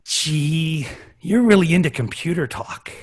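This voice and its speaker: A man's voice: on the high side, animated, measured pace, slightly rough. A man playing an earnest, lovestruck nerdy young boy in a sitcom table read, eager and naive. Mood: smitten, eager.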